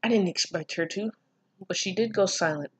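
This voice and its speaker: A person reading fan fiction aloud casually, breaking off for expressive, incredulous asides full of swearing. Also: medium pitch, moderate pace, husky, no room sound. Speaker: online reader reacting to fan fiction. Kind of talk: casual read-aloud with running commentary.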